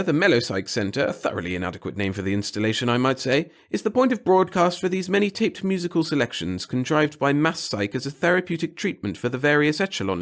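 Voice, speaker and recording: Fussy little male clerk, acted by a man, obsequious and pompous, pitched up high, sci-fi audiobook character with florid, babbling delivery. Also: a bit bright.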